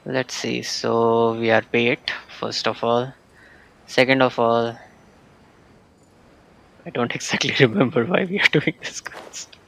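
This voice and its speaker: A man streaming a video game live, muttering quiet asides to himself, often near a whisper. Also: mid-range voice, steady tone, slow pace, vocal fry, long pauses, poor audio.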